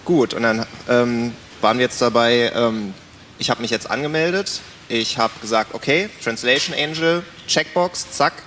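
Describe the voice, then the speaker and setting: A man with a mid-pitched voice moderates an informal discussion, summing up points in short phrases. His voice carries long hall reverb over faint noise. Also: moderate pace, a bit bright. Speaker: panel moderator.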